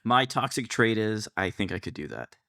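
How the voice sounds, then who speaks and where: Dude cohost on a podcast, kinda low voice, laid out like everyday chat. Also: male voice, very animated, moderate pace, vocal fry, pushed and bright. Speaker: podcast co-host.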